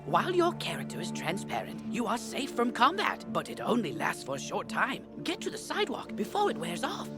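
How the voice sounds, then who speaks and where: A woman playing a helpful, excitable tutorial guide in a video game, giving instructions in a breathy voice. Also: mid-range voice, a little fast, few pauses. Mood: helpful, eager. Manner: instructive, swooping exclamations. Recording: faint music behind.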